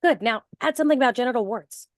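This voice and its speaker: A woman's voice: on the high side, fast, lightly acted. A woman acting a supportive, blunt young best friend in a table read of a horror film script. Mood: breezy, encouraging.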